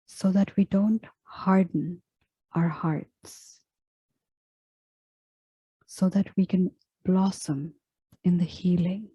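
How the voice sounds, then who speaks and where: A woman leading a guided meditation in a soft, breathy, hushed voice, speaking gently and in measured phrases with long pauses. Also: fairly low pitch, fairly even intonation, no room sound, poor audio. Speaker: meditation guide and yoga teacher. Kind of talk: slow guided meditation, scripted.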